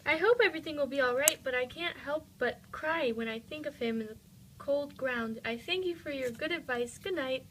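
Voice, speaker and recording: Grief-mad young noblewoman for a puppet play, female performer, fairly high, pitiable, faintly noisy recording. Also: moderate pace, a bit bright. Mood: mournful. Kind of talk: amateur puppet-show dramatic reading.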